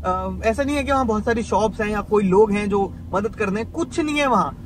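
A man's voice: high-pitched, fairly quick. A man narrating his own travel vlog in a chatty, thinking-aloud way, mixing in English words. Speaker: travel vlogger. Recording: background music under the voice.

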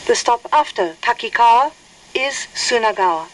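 A woman giving a scripted, formal train announcement in a careful, measured way. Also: a little high, animated, poor audio. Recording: train speakers with faint noise. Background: train cabin hum.

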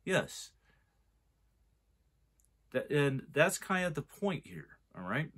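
A man's voice: on the high side, sing-song, slow pace, fairly bright tone, husky. A man in his forties giving a casual, rambling film review, often restarting his sentences as he argues a point. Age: 40s. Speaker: movie reviewer.

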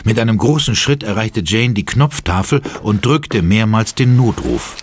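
A man's voice: on the low side, normal speed, slight background noise. A man narrating an audio drama in a dramatic, scripted style.

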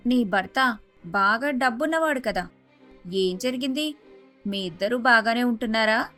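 Woman doing a high pitched concerned friend in a telugu cartoon, nosy but earnest, faint music. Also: animated, a little slow, bright, forceful tone. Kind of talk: animated moral story dubbing.

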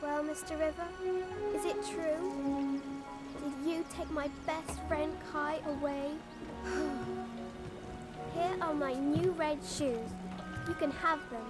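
A woman's voice: high-pitched, slow pace, long pauses. A woman voicing an earnest, tender-hearted young girl in a cartoon, with a wistful, hopeful manner. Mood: pleading. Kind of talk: animated cartoon acting. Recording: loud background music.

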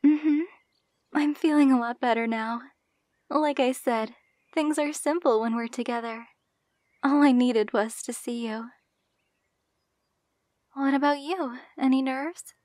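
A woman's voice: high voice, slow, long pauses, darkish tone, no room sound, clean studio sound. A woman playing an affectionate, playful young bride in an intimate ASMR roleplay, speaking tenderly with a giggly, teasing manner. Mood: relaxed, affectionate.

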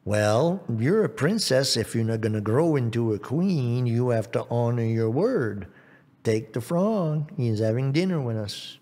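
Stern royal father voiced by a man, fairy-tale telling, grave, measured, hall reverb. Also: medium pitch, animated, dark tone.

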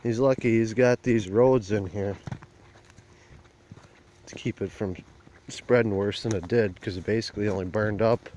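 Man, lowish voice, plain rural talker, walking and filming on a phone outdoors with faint background noise. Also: steady tone, moderate pace, long pauses, darkish tone. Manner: plain-spoken, rambling, rural phrasing. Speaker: rural vlogger. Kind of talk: casual walk-and-talk vlog. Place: outdoors in a burnt field. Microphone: handheld phone camera while walking.

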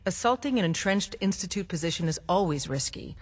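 A woman's voice: lowish voice, animated, fairly quick, long reverb tail. An actress voicing a businesslike female resistance leader giving orders in a game. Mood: briefing.

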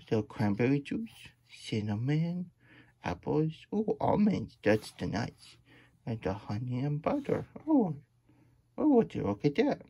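Man voicing an excitable, husky childlike hedgehog plush storyteller. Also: a little high, dry recording, full character voice. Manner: childlike broken grammar, third-person self-reference, gasping wonder. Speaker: storytime host. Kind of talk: character read-aloud with chatty asides. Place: small room indoors at a table.

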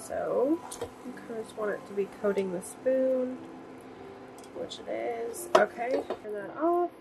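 A young woman in her twenties vlogging casually from her cozy wooden home, chatty and upbeat and a little hoarse from a cold. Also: on the high side, noisy background. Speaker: vlogger and songwriter. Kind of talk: casual chat to camera. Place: cozy wooden home kitchen and living room.

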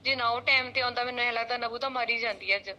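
A woman's voice: a little high, steady tone, racing, sharp tone, muffled recording. A woman playing a tired, worried married daughter, subdued in her talk. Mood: weary, worried.

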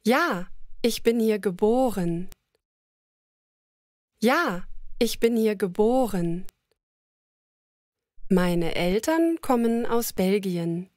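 A woman reading lines of a slow scripted conversation for language learners, repeating each sentence. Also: mid-range voice, animated, a little mellow, no room sound, clean studio sound. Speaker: language lesson voice.

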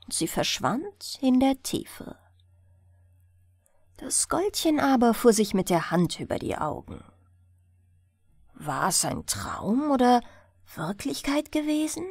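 A woman narrating a bedtime fairy tale in an expressive storytelling style, as an audiobook reader. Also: on the high side, dry recording, studio quality.

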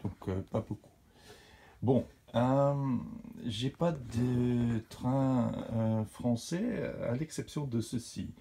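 A man for whom French is not his first language, hosting a model railway channel and talking in a casual, halting way with many fillers. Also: mid-range voice, dark tone, slight background noise. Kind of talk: casual hobby show-and-tell. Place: indoors beside a model railway layout.

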